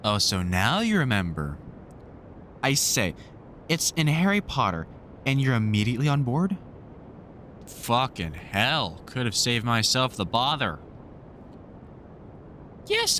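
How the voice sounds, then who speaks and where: A man's voice: medium pitch, huge pitch swings, unhurried, long pauses, pushed and bright, slight background noise, full character voice. A man playing a sardonic, protective vampire hunter in a roleplay audio drama, sweary and teasing like an exasperated but fond mentor.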